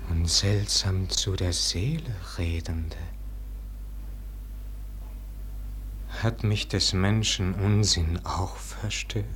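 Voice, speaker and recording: An actor playing a melancholy, world-weary scholar in a classic radio drama, declaiming verse lyrically and solemnly. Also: male voice, sits low, a little hiss or hum. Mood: hushed wonder. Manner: solemn, lyrical declamation swinging between hushed murmur and fervent swell. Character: melancholy world-weary scholar, rapt by music, longing for lost life. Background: music.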